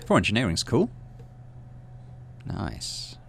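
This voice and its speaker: A man's voice: fairly low pitch, wildly swooping pitch, slow pace, gravelly, slight background noise. A man casually hosting a game playthrough, reading narration aloud and muttering his thinking as he plays. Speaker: gaming channel host.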